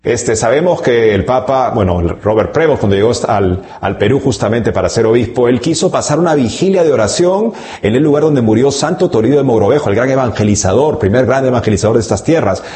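A man hosting a formal broadcast interview, asking measured, courteous questions. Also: mid-range voice, animated, warm tone.

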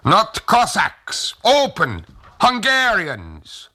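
A man's voice: lowish voice, wildly swooping pitch, a bit bright, breathy edge, voice pushed up, full character voice. A man acting a curt, commanding foreign soldier. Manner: clipped, halting broken speech. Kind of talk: acted dialogue in a literary reading.

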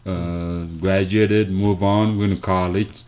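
A man with a South Sudanese accent telling his story as an interview guest, haltingly and with repeated words. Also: deep voice, flat delivery, darkish tone. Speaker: interview guest, foundation founder. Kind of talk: conversational storytelling.

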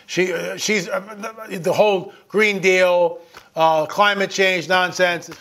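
High-pitched man in his late sixties, exhorting. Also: steady tone, slow, fairly bright tone. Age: 60s. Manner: conversational, folksy, emphatic, with rhetorical questions. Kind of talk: informal sermon-like commentary, exhorting listeners.

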